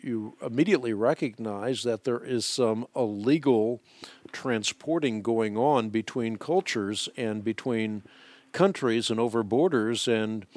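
A man who speaks Dutch as his first language, hosting a call-in radio show and answering listeners' questions in a measured, deliberate way with frequent hesitations. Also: mid-range voice, darkish tone, no echo. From Netherlands.

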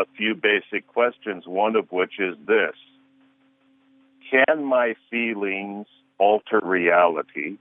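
A man's voice: deep voice, no room sound. A man in his sixties, a guest counselor on a radio show, arguing earnestly through rhetorical questions over the phone. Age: 60s. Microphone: telephone. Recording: tinny phone line.

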